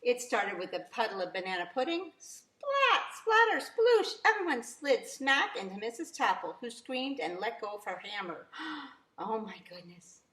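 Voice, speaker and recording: A woman reading a picture book aloud to young children as a teacher, very expressively, with drawn-out emphasis. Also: a little high, big pitch swings, bright, forceful tone. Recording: roomy, echoing sound.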